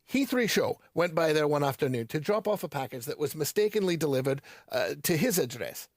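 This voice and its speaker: Guarded, reluctant small-town bookseller. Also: male voice, on the high side, expressive, no room sound, clean studio sound. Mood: agitated, grave. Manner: measured, formal wording, hesitant, reticent. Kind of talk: audio drama, naturalistic recorded interview.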